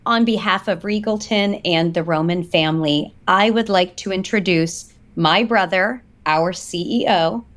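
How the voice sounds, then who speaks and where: An actress playing a polished, sharp-tongued corporate sister in an audio soap opera, assertive and easily exasperated. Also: female voice, on the low side, unhurried, few pauses, lightly acted. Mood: poised, professional.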